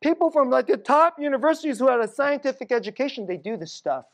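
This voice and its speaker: A middle-aged Korean American woman telling stories in a sermon, casual and conversational, dropping to a hushed low voice at tense moments. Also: lively intonation, quick pace. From United States.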